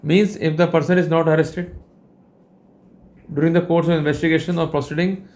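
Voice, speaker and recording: A man's voice: on the high side, flat delivery, brisk, darkish tone. A man with an Indian accent giving a legal lecture in a formal, wordy, didactic way. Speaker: legal educator and host.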